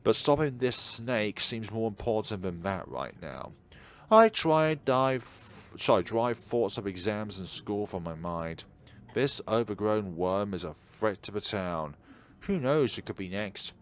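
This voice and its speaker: Low-voiced man reading a story, very lively pitch. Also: unhurried. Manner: stumbles over the text and corrects himself. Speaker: video game streamer reading dialogue aloud. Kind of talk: dramatic read-aloud narration of a visual novel. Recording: music behind the voice.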